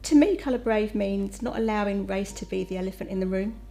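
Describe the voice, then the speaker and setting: Woman, gravelly voice, mellow tone, candid, reflective. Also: mid-range voice, a little fast. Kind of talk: scripted corporate testimonial.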